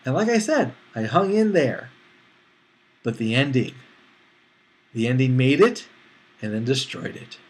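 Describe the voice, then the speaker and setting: A man's voice: medium pitch, animated, unhurried, pauses often, low-quality recording. A man giving a casual spoken film review as a movie critic, talking conversationally and acting out his imagined reactions.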